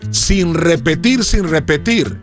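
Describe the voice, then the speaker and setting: A man hosts a casual interview in a moderately high voice. He is emphatic and likes to repeat phrases for weight, while tropical music plays faintly underneath. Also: expressive, slow pace. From Bucaramanga, Colombia. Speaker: radio host, interviewer. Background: salsa and tropical music underneath.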